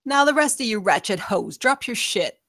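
A woman's voice: fairly high pitch, big pitch swings, normal speed, a bit bright, no room sound, full character voice. Woman as tough female gang boss, commanding, very varied intonation, dry recording. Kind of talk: scripted table-read acting.

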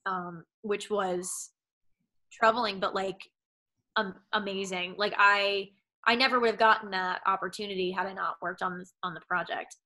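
A young woman from Massachusetts speaks with a Boston accent. Her voice is bright and forward. She rambles in easy chat from home, with a steady stream of "like" and "um". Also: mid-range voice, moderate pace. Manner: rambling, heavy use of "like" and "um". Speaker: actress guest. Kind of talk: casual interview chat.

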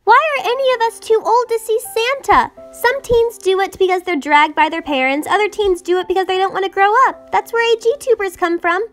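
A woman's voice: very high-pitched, expressive, a little fast, hardly any pauses, faint music behind. A young woman voicing a scheming, bratty thirteen-year-old girl who talks in a dramatic, sarcastic way, in a homemade doll drama. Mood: impassioned. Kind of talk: hobby doll drama voice acting.

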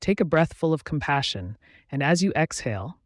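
A man with a fairly high voice leads a guided meditation in a calm, unhurried way, pausing between phrases. He is close to the mic in a dry room. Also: no room sound. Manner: slow, soothing, even delivery with pauses.

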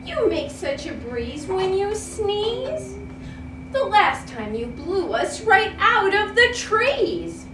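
Woman as pleading storybook monkeys, animated. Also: extremely high pitch, slow, echoey room, far from the mic, background noise, pitched above the performer's own voice, full character voice. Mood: worried pleading. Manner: emphatic, imploring.

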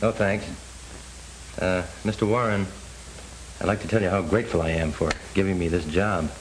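A man acts out a young American framed for a crime, proud and earnest. His voice sits fairly low. It is 1930s film drama on a faintly hissy soundtrack. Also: fairly even intonation, normal speed, close to an everyday voice, poor audio. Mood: earnest, restrained. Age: young adult. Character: wronged young ex-convict, earnest, proud former football player.

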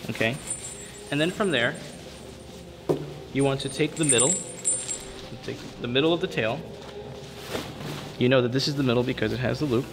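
A man in a church calmly demonstrating how to fold and lay out vestments, repeating steps and checking in with "okay?". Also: on the high side. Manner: patient, step-by-step, repeats himself with frequent "okay?" checks. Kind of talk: hands-on instructional demonstration. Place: church interior at a table. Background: background music.

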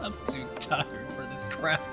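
Male gamer commentator; lively, cursing, mock-flirty, ribs the characters. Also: medium pitch, measured pace. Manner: joking, profane, talks back to the characters. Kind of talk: let's play commentary. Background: game music.

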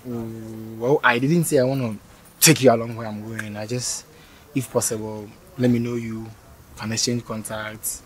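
A man's voice: mid-range voice, steady tone, normal speed, a little hiss or hum, close to an everyday voice, poor audio. An actor playing a polite, awkward young man who stammers and speaks apologetically. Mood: pleading. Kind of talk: scripted skit acting.

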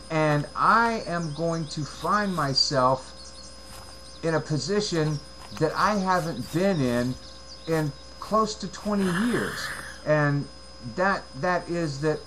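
A man in his late forties from the United States, talking through a video game in a rambling, halting way, with many fillers and repeated words, on a headset mic in a room at home. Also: mid-range voice, a bit bright, slight background noise, low-quality recording. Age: 40s. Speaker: let's play gamer. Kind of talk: casual gameplay commentary.

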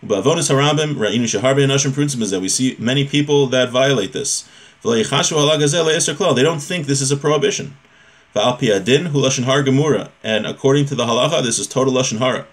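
Guy in his 30s teaching a religious class, talks fast, chatty explaining style, recorded at his desk at home. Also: mid-range voice. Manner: conversational, often checks in with rhetorical questions. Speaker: religious teacher giving a lesson. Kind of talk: informal lecture, reading a text and explaining it. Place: small home room with bookshelf.

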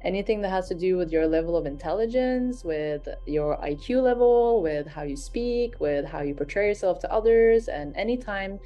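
A woman leading a guided healing session, speaking in a slow, soothing, repetitive way and murmuring soft affirmations. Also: lowish voice, animated, highs cut off, faint music behind.

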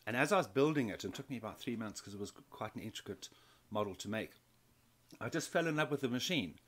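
A man's voice: medium pitch, animated, fast, fairly bright tone, slight background noise. A man in his fifties from South Africa, telling his story as an interview guest, with many restarts and repeated words. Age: 50s. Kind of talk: conversational interview.

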